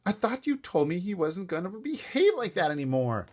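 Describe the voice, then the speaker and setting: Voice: mid-range voice, big pitch swings, normal speed, darkish tone, voice pushed up. A reader voicing a fed-up fourth-grade boy in a storybook read aloud, indignant and grumpy.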